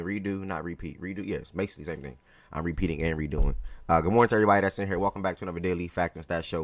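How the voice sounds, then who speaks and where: A man hosting a live sports talk stream, chatty and relaxed, greeting viewers by name, his voice a little hoarse. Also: low-pitched, no room sound. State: slightly hoarse from yelling the day before. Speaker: live show host.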